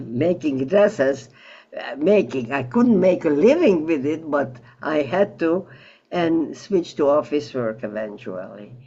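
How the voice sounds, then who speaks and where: An elderly woman recalling her life in an interview, halting and reflective as she searches for words over an online call. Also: deep voice, darkish tone, highs cut off. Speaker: Holocaust survivor interviewee. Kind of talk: personal recollection in an interview. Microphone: video call.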